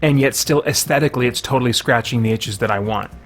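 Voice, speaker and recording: A man's voice: medium pitch, fast, over loud music. A man giving casual first-impressions commentary on a video game, conversational and thinking aloud as he goes.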